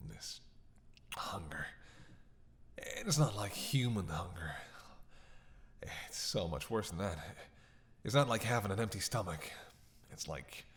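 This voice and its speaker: A man playing a starving captive vampire in an audio roleplay, halting and breathy, weak, wry and desperate. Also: low voice, animated. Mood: weary, strained. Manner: halting, wry, intimate address to listener. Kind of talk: dramatic audio roleplay monologue.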